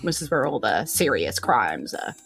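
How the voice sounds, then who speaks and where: A woman's voice: a bass voice, very animated, moderate pace, creaky, a bit bright. A woman with a New Zealand accent, improvising casual, descriptive narration as game master in a tabletop game, feeling her way through the scene. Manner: informal, hesitant, descriptive. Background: background music.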